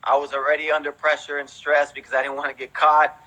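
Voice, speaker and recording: A man's voice: on the high side, monotone, quick pace, pushed and bright, poor audio. A man in his thirties with an American accent, telling stories casually as an interview guest, with an informal way of talking full of conversational tags. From raised in Houston, United States, born in Mexico. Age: 30s. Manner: informal, peppers talk with "you know" and "man". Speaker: interview guest, veteran breakdancer.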